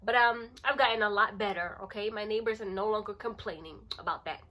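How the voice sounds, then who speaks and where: A thirty-year-old woman chatting casually to her channel as host, in an animated, playful and emphatic way, repeating phrases for effect. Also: medium pitch, a little fast. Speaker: lifestyle vlogger and channel host. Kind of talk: casual chatty vlog to camera.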